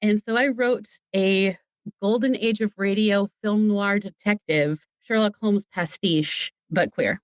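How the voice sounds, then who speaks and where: A woman's voice: mid-range voice, measured pace, dry recording. A woman speaking as a podcast guest, chatting casually in a talkative, playful way. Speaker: podcast guest, audio drama creator. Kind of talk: casual interview chat.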